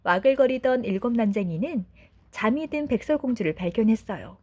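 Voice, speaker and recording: Animated woman storyteller, fairly high voice. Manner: gentle, deliberate storytelling for children. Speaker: storyteller mother. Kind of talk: expressive bedtime fairy-tale narration.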